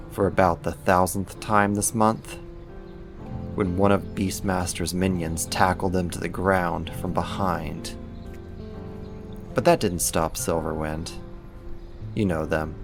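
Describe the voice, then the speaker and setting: A man acting a casual, lightly teasing roommate who is secretly a supervillain, in a conversational ASMR roleplay. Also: low voice. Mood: casual, admiring. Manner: casual, laid-back, lightly teasing, slangy.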